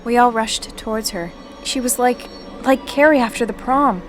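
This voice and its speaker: A woman's voice: a little high, moderate pace, close to an everyday voice. An actress playing an earnest, guarded teenage girl who confides in her diary and bristles when challenged, in a radio drama. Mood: confiding. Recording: music under the dialogue.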